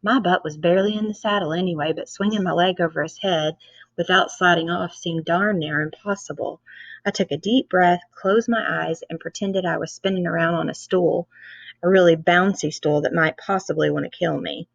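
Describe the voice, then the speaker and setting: A woman narrates a children's book with lively expression. Her voice has a gravelly fry at the edges. The recording is dry and a little dull. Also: fairly low pitch, moderate pace, no echo. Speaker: read-aloud reader.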